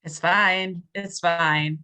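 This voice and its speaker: A woman's voice: lowish voice, fairly even intonation, very slow, bright, forceful tone, smooth. A woman improvising conversational narration as game master of a tabletop role-playing game. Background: background music.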